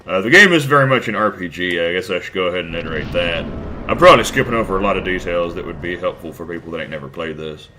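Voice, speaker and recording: A man's voice: deep voice, fast talker, sharp tone, slight background noise. A man commenting casually on his gameplay, rambling, crude and self-deprecating, a bit stuffed up from a cold. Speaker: let's play host.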